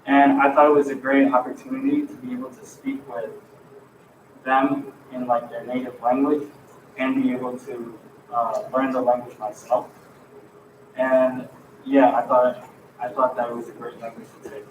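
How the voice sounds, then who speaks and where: A teenage boy whose first language is Urdu, giving halting remarks as a student speaker at a public meeting. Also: mid-range voice. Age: teens. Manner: halting, with many fillers and restarts. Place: school board meeting room. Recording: echoey meeting room, distant mic, background noise.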